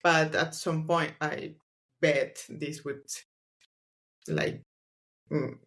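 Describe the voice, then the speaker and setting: A woman's voice: deep voice, very slow, fairly bright tone. A woman whose first language is Spanish, a scientist guest on a podcast, speaking English in a thoughtful, hesitant way and often trailing off. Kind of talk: casual book club discussion.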